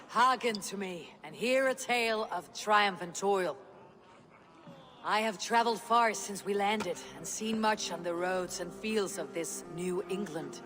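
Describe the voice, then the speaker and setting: A woman voicing a confident Viking clan leader who speaks in a measured, declamatory way. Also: medium pitch, close to an everyday voice. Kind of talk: video game cutscene acting. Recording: reverberant mix with music.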